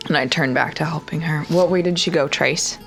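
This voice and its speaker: A woman speaks casually as a player in a tabletop roleplaying game. Her voice is very low for a woman, with heavy fry, and music runs underneath. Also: fairly quick, a bit bright. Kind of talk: casual in-character play and describing actions.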